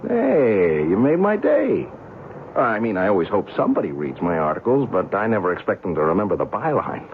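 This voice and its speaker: A man's voice: on the low side, big pitch swings, brisk. An actor playing a brash, skeptical American newspaperman in an old-time radio drama, casual and wisecracking. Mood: curious. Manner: breezy, colloquial, incredulous. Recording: tinny, noisy old radio recording.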